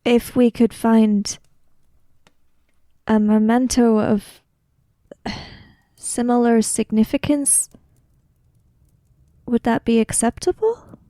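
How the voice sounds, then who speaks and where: A woman voicing a composed, formal samurai in a tabletop game, measured, logical and direct. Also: fairly high pitch, warm tone, no room sound, clean studio sound. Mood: calm, probing.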